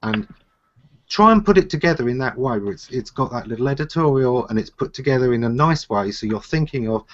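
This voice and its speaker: A man hosting a live consulting show, calmly explaining marketing advice over a video call. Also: medium pitch, a little fast, slight background noise. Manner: explanatory, steady. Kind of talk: advisory talk. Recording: slightly dull video call audio.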